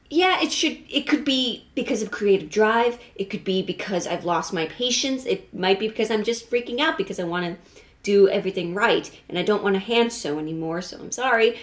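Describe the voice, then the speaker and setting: A woman hosting a sewing vlog, talking in an informal, candid and self-deprecating way as she chats and narrates her project. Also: fairly low pitch. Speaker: sewing vlogger and host.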